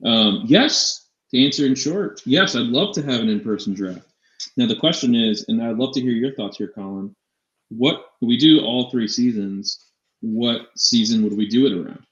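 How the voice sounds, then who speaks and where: A man co-hosting a casual fantasy sports live show, talking in a halting way with repeated and restarted phrases. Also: medium pitch, fairly bright tone. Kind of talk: casual sports talk banter. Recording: echoey room, dull lo-fi mic.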